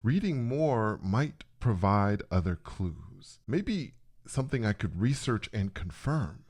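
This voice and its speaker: Male narrator for dramatic first-person fiction. Lowish register, unhurried delivery, very varied intonation. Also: sing-song, a little mellow, husky.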